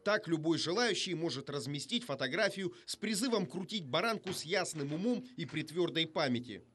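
A man narrates a television news report in a fairly high voice. His delivery is scripted and polished, with a wry, ironic edge. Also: animated, brisk, a bit bright, a little hiss or hum.

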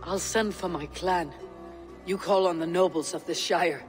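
A steady Viking warrior, with a somewhat high voice for a man. The clan leader speaks calmly and directly, with music behind, as in a video game story. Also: unhurried. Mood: calm, resolute. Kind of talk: dramatic video game cutscene acting.

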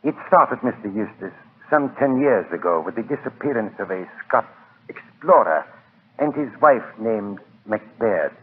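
An actor playing a grave island hotel proprietor, formal, deliberate and ominous, in 1940s radio drama. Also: male voice, on the low side, expressive, husky. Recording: tinny, noisy 1940s radio recording.

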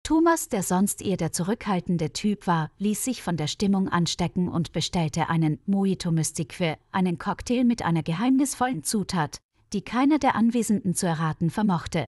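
A woman's voice: on the low side, expressive, moderate pace, few pauses, darkish tone, no room sound, studio quality. A woman reading a scripted story for language learners, enunciating carefully as narrator.

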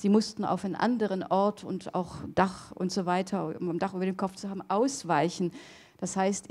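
A middle-aged woman giving an academic lecture in a hall on a handheld microphone, pausing often with fillers and restarts. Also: medium pitch, warm tone. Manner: hesitant, many fillers and restarts. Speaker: theology lecturer.

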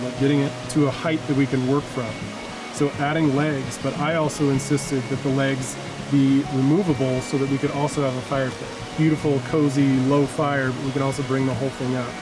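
A man speaks in a measured, very flat delivery with almost no rise and fall in pitch. Clear music runs under the interview clip. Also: rough recording. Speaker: chef interviewed. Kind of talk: interview soundbite.

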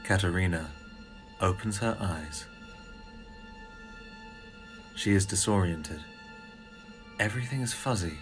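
Low-voiced male drama narrator. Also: takes it slowly, long pauses, music in the background. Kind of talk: scripted audio drama narration.

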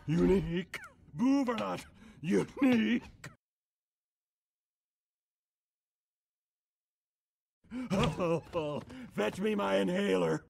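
A man's voice: sits high, expressive, takes it slowly, creaky, a little mellow, husky, exaggerated voice. An actor playing a pompous, cowardly male alien captain in a cartoon film, with theatrical, commanding delivery. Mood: smug, alarmed.

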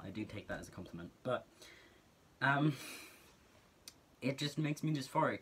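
A man's voice: fairly low pitch, bright, forceful tone, a little hiss or hum. A teenage guy from Norway with a Norwegian accent, talking earnestly and casually to camera as a vlogger, full of filler words, in a small bedroom. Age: teens.

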